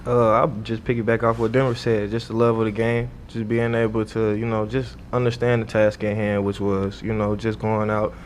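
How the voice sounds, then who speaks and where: A man's voice: monotone, moderate pace, hardly any pauses. A young man, a college athlete, chatting casually as an interview guest. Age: young adult. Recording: background noise with low rumble.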